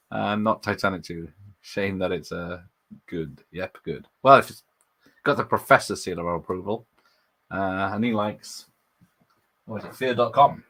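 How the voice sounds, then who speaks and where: A man's voice: lowish voice, creaky, a bit bright. A man hosting a casual live watch party, rambling and chatting about films, laughing often and answering his viewers. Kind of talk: casual live movie commentary.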